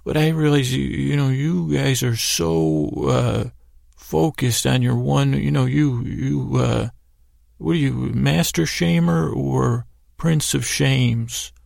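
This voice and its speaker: Very creaky, rambling male bedtime podcaster. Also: mid-range voice, steady tone, dry recording, studio quality. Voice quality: creaky, gravelly. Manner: meandering, halting, stammering with repeated words; whimsical, gently addressing imagined listeners. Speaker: podcast host.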